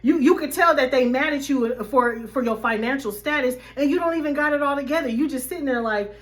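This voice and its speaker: Hoarse, animated woman life coach. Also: high voice, brisk. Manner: animated, addresses viewers as y'all. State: slightly hoarse. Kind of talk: casual faith-based vlog talk.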